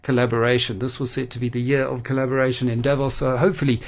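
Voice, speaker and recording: A man hosting a radio interview, asking measured, articulate questions in a creaky voice. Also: medium pitch, flat delivery. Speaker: radio host. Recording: dull lo-fi radio audio.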